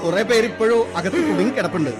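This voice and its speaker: An actor playing a rescue worker who calmly reassures a frightened father in a radio play. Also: high-pitched, lightly acted. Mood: reassuring. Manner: quick, reassuring.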